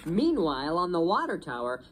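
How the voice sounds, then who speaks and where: A woman's voice: on the low side, big pitch swings, brisk, noisy background. A woman reading a children's storybook as a dramatic narrator.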